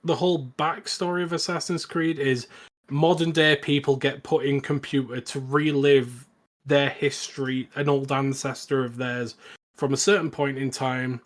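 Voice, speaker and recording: Male podcast host, medium pitch, informal review chat. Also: level tone, normal speed.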